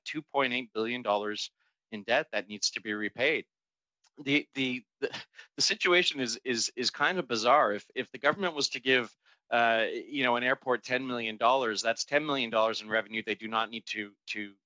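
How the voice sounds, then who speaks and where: A man gives unscripted testimony as an industry representative. His voice is fairly low but bright and forceful, and he speaks haltingly, repeating words as he explains. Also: dry recording, rough recording. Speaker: airport association representative. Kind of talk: spontaneous committee testimony.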